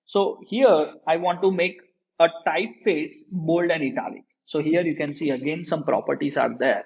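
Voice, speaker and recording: Male lecturer, Indian accent, slightly high voice, step-by-step tutorial delivery, muffled lo-fi mic. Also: normal speed, creaky, a little mellow. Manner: repeatedly checks in with tag questions. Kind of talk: software tutorial, explaining code step by step. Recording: dull lo-fi microphone sound.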